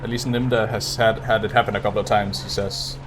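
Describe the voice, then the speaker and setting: A man with a somewhat low voice commentates his own video game run live. He talks casually, half muttering as he thinks aloud. Faint game ambience sits behind him. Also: level tone, a little fast, noisy background. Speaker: speedrunner streaming a video game.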